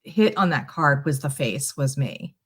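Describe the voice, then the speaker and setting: A woman's voice: extremely low pitch, expressive, a little slow, breathy edge. Woman guest chatting casually, hesitant. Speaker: guest tarot reader. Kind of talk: casual live-stream chat.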